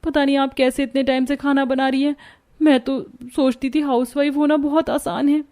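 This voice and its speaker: A woman voicing expressive young daughters-in-law in a Hindi cartoon story, theatrical in delivery. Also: high voice, normal speed, natural voice. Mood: overwhelmed. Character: young working sisters-in-law, lively and put-upon housewives.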